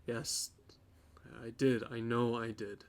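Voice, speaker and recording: A man acting in an audio drama as a guilty young boyfriend, halting and defensive as he pleads. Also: medium pitch, level tone, close to an everyday voice. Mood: remorseful.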